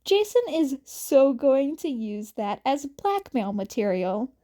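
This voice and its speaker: A woman's voice: high voice, big pitch swings, slow pace, dark tone. A woman narrating a piece of fan fiction as an amateur reader, in a scripted storytelling style.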